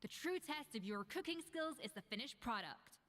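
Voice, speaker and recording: A woman voicing an exacting, proud young master chef in an anime video game, crisp and blunt in her delivery. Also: on the high side, lively intonation, moderate pace, fairly bright tone, in a large hall. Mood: exacting, composed.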